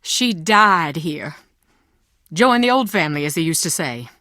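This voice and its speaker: An actress playing a proud, weary, sharp-tongued middle-aged Southern mother in a video game, with a Southern US accent and a blunt, folksy manner. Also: low voice, lively intonation, a little slow, no echo, studio quality, full character voice. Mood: wistful, grieving.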